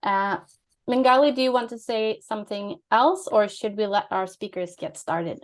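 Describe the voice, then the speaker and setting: A woman hosting an online meeting, calling on speakers in a relaxed way. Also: mid-range voice, normal speed. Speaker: host, zone coordinator. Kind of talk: meeting moderation.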